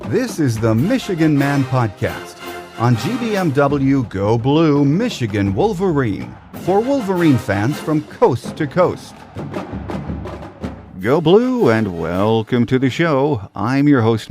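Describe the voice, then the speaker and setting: Guy doing solo sports podcast commentary, lowish voice, bit of music underneath. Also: darkish tone. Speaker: podcast host.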